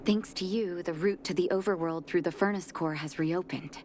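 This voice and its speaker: A voice actress playing a gentle, composed female doctor in a video game, speaking in a measured and polite way. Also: fairly low pitch, slight background noise, lightly acted. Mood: calm. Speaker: game character.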